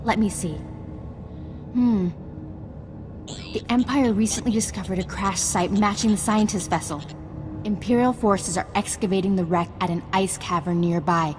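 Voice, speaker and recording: A woman playing a composed, resolute Jedi master in a video game, speaking in a measured, earnest and authoritative way. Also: medium pitch, natural voice. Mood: focused. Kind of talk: scripted video game acting. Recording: game music behind.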